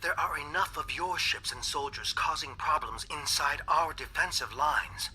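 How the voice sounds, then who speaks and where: An actor playing a fearful dissident alien scientist in a game cutscene, speaking in a measured, grave manner. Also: male voice, a little high, bright, forceful tone, slightly rough, exaggerated voice.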